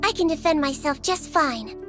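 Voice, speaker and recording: A very high, childlike voice plays a small girl nurse in a video game. She is sweet, earnest and courteous. Music runs clearly underneath her lines. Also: expressive, moderate pace. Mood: earnest, polite. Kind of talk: scripted video game voice acting.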